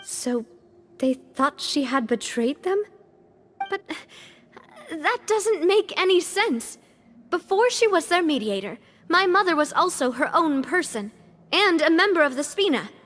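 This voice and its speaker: A woman's voice: very high voice, sing-song, a little slow, a bit bright, exaggerated voice. A woman playing a young guild boss in a game, thoughtful and firm when she speaks. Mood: earnest.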